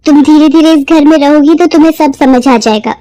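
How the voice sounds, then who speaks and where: A woman as a shy, high-voiced sister-in-law. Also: brisk, warm tone, no room sound, close to an everyday voice. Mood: resigned. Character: shy, resigned elder sister-in-law, young married woman. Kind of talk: Hindi moral-story dramatization.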